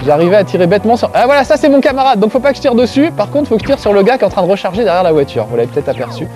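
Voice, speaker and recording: Corsican-accented male game-show host, self-mocking, high warm voice. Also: big pitch swings, very fast, faint music behind. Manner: joking, self-mocking, chatty. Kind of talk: casual gameplay commentary to camera. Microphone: close framing, tight on face.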